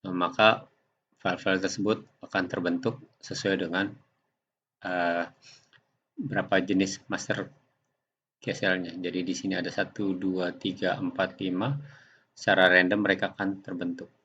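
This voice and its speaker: Man, very low pitch, halting tutorial narration, muffled lo-fi sound. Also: flat delivery, a bit bright, a little hiss or hum. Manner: halting, step-by-step explaining. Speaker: software tutorial presenter. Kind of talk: unscripted screen demonstration narration.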